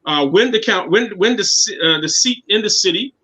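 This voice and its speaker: Bright high-voiced man in his 50s. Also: animated, fairly quick, husky. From Guyana. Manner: earnest, repeats words while finding his thoughts. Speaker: guest, city councilman. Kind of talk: casual interview talk. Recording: dull lo-fi sound.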